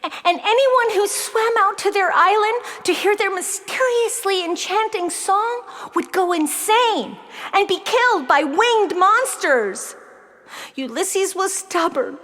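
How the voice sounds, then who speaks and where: A woman's voice: very high-pitched, expressive, slow, a bit bright, heavily acted. A woman playing an emotional, brainy nine-year-old girl in a children's audio play, melodramatic and pleading. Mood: anxious, pleading. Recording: long reverb on the voice.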